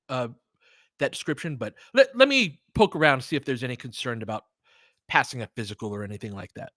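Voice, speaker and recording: An American man gives conversational sports analysis in a very dry recording with little room sound. His pitch sits in the middle range for a man. He thinks aloud as he talks to listeners, leaning on words for emphasis. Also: sharp tone, no echo. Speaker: sports show host and game commentator. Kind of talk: casual live basketball analysis, chatting with listeners.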